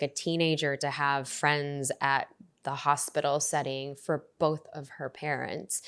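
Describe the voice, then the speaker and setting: Low, reflective woman guest in a podcast interview, earnest, restarts often. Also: clear, resonant tone. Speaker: podcast guest, resilience coach. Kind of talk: conversational interview.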